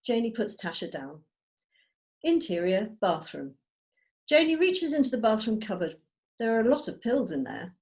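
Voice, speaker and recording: A woman reading out screenplay stage directions as measured, storytelling narration. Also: a little high, big pitch swings, darkish tone. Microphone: video call.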